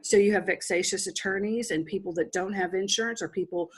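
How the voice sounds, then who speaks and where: A middle-aged woman from Texas talks through her story as a podcast guest. Her voice is husky and her pitch sits in the middle. She is candid and driven, often restarting a phrase as she pushes on. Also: fairly even intonation, fairly quick. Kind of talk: casual interview storytelling.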